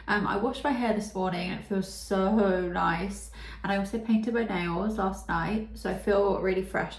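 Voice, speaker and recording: A young woman from the UK talking casually in a video diary, her nose blocked and congested, pausing for breath as she chats with many ums and likes. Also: medium pitch, a little mellow, reverberant. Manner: chatty, lots of ums and likes. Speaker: vlogger.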